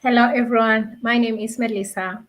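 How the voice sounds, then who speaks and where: A woman's voice: fairly high pitch, steady tone, normal speed. A woman from Kenya who works as a hotelier, introducing herself briefly and formally on a livestream panel.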